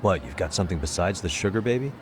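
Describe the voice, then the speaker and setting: A man's voice: low-pitched, animated, fast, a little hiss or hum. A man playing an easygoing, wry private detective in a video game, speaking in a casual, dry way. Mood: relaxed, puzzled. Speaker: detective character. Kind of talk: English game voice acting. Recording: loud music behind the voice. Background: background music.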